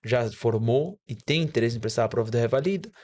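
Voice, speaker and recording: A man's voice: medium pitch, lively intonation, fairly quick, darkish tone. A man whose first language is Spanish, speaking as a doctor guest on a casual podcast and explaining things with frequent self-corrections.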